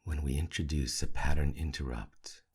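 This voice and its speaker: Male meditation guide: very raspy, breathy and creaky, hushed delivery in short, spaced-out phrases. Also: very deep voice, fairly even intonation. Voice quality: gravelly, husky. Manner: hushed, soothing, with long pauses between short phrases. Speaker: meditation teacher.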